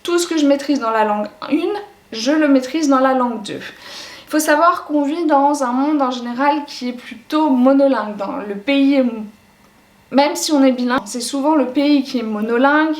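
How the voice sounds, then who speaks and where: A woman's voice: high-pitched, moderate pace. A young woman talking to camera for her educational channel, thinking aloud and hesitating often. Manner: reflective, with frequent hesitations. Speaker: educational YouTuber. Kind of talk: explanatory talk to camera. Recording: echoey room.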